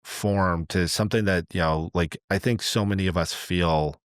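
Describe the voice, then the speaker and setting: A man talking casually as a podcast host, in a halting, earnest, rambling way as he thinks aloud. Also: low voice, fairly even intonation, dry recording, clean studio sound.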